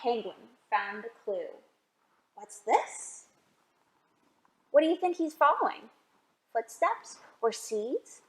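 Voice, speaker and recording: A woman reading a picture book aloud for a children's storytime, expressive and often asking the listeners questions. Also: fairly high pitch, slow, long pauses, roomy sound, a little hiss or hum, low-quality recording. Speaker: library storytime reader.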